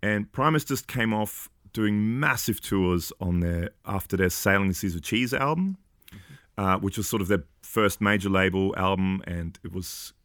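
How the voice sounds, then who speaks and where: Man in his forties, from Austria, very low voice, halting and chatty, dry close sound. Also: fairly bright tone, no echo. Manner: hesitant, many fillers and restarts, laughs often. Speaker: podcast guest. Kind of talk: casual chat.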